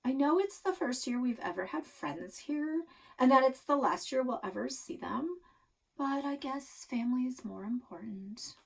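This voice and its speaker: Lady doing a dramatic wheedling teen girl for a storybook, husky, pitch all over, bit distant. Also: lively intonation, moderate pace, full character voice. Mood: pointed. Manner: theatrical, casual teen phrasing. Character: scheming teenage girl, dramatic, wheedling and falsely resigned.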